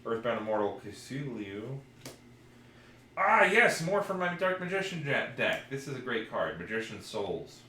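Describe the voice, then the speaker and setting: A man's voice: medium pitch, sing-song, measured pace, sharp tone. A man chatting casually as a podcast co-host and reading out trading cards as he goes. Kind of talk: casual chat while opening trading card packs. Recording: echoey room, faint background noise.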